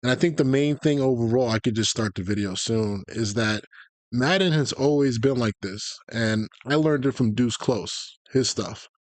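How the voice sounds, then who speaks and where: A man's voice: medium pitch, normal speed, no echo. A man giving a casual live-stream lecture on video game tactics, informal and conversational, wandering into tangents as he explains.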